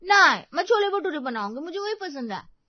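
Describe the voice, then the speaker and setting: महिला की आवाज़ में बहुत ऊँची, बचकानी नई दुल्हन. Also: female voice, very high voice, very animated, brisk, pitched above the performer's own voice. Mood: pouty. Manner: whiny, pouting. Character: childlike, oversensitive, tearful young newlywed bride. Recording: slightly dull, dry recording.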